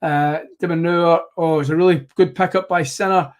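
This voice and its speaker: A man hosting a live tennis watchalong, commentating play by play in a rambling, self-correcting way, slightly hoarse. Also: fairly high pitch, fairly even intonation, moderate pace.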